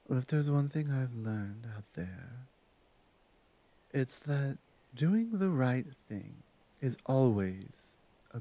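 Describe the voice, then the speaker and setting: A man playing a bold, protective female orc huntress in an ASMR roleplay drama, talking in a firm, deliberate way. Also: mid-range voice, mellow.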